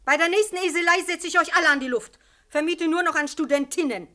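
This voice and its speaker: High-voiced cantankerous landlady. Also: fast talker, sharp tone. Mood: irritated, scolding. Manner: scolding, indignant, blunt. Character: cantankerous, sharp-tongued hotel landlady, suspicious of her tenants. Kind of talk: German radio crime drama acting.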